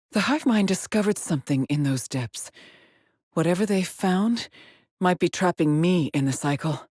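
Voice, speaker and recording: An anguished woman astronaut, trapped and haunted. Her voice is husky and breathy, and she speaks slowly and haltingly in a dramatic video game style. The sound is close and dry. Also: on the low side, a little mellow, no echo. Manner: slow, halting, haunted delivery with sighs.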